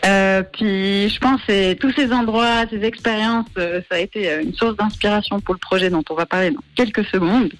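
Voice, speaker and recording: A woman's voice: medium pitch, normal speed, few pauses, faint music behind. A woman from Marseille, a guest on a radio show, talking about her project with many hesitations and fillers. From Marseille, France. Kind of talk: radio interview.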